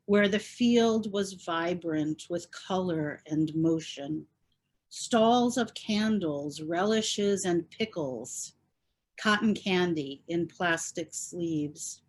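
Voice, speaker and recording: A woman with a fairly low voice speaks very slowly and deliberately. She sounds like someone giving a heartfelt tribute or reading poetry aloud over a video call, measuring out each phrase. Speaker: poet reading at a tribute event.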